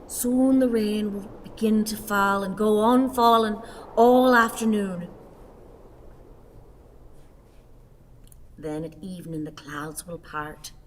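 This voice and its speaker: Actress as bitter old woman, slow and theatrical. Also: medium pitch, in a large hall, a little hiss or hum, close to an everyday voice. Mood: brooding, wistful. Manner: declamatory, halting, melodramatic. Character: bitter, self-pitying old woman, bent and sorrowful. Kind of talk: theatrical radio play acting.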